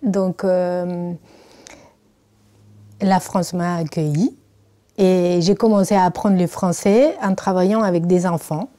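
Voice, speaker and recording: A woman's voice: lowish voice, steady tone, takes it slowly, pauses often, warm tone. A middle-aged woman from Argentina, a research engineer telling her story in a documentary interview, hesitant with many fillers. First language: Spanish.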